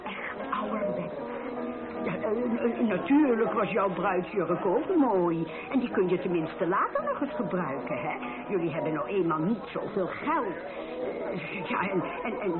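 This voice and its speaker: Woman acting fussy middle-aged mother, flustered, gushing, very lively pitch, thin band-limited sound, radio drama. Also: unhurried, natural voice. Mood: tactless, flustered. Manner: flustered, hesitant, gushing, repeats herself. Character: fussy, chattering middle-aged mother at her daughter's wedding. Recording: tinny band-limited radio play, loud music, party crowd.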